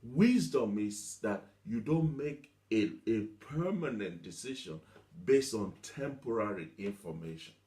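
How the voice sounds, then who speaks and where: A man's voice: fairly low pitch, animated, measured pace, dark tone. A man preaching a motivational teaching sermon, emphatic, repeating himself and asking rhetorical questions. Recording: echoey room.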